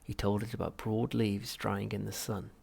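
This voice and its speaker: A man's voice: on the low side, little pitch movement, moderate pace, vocal fry, a little mellow, breathy edge. A man reading a comic novel aloud as scripted audiobook narration. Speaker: audiobook reader.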